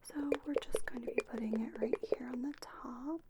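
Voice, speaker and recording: A woman softly whispering an ASMR roleplay as a spa owner, giving gentle, patient step-by-step instructions. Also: fairly high pitch, normal speed, very noisy.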